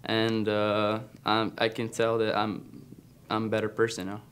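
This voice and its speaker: A man's voice: on the low side, monotone, unhurried, a bit bright, slight background noise. A teenage boy from Brazil with a Brazilian Portuguese accent, answering an interview in careful, simple English. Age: teens. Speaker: interviewed student.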